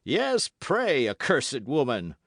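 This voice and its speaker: A man voicing a vengeful nobleman who speaks loudly and with scorn. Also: mid-range voice, sing-song, measured pace, creaky, dry recording, studio quality, voice pushed up. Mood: scornful. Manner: scornful and loud.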